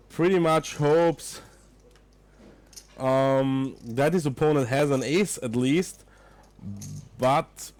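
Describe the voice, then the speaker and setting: A man's voice: on the high side, darkish tone, no room sound. A young man from Austria, a non-native English speaker with an Austrian German accent, commentating a poker livestream and chatting with viewers, sometimes searching for words. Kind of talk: live poker commentary with chat.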